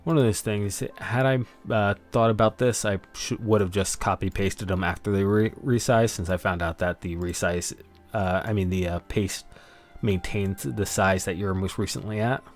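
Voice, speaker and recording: A man's voice: lowish voice, fairly even intonation, normal speed, faint music behind. A man streaming his game prep in a casual way, rambling to himself as he works. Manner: rambling self-talk while working. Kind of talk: casual thinking-aloud stream commentary.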